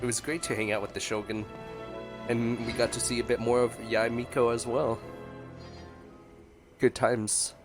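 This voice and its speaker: A man's voice: mid-range voice, flat delivery, moderate pace, long pauses. Playful male streamer over game music. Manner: playful, chatty. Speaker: gaming streamer. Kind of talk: casual game reaction commentary.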